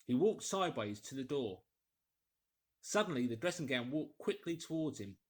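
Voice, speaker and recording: A man's voice: mid-range voice, sing-song, moderate pace. A man reading a story aloud as a scripted, dramatic audiobook narrator.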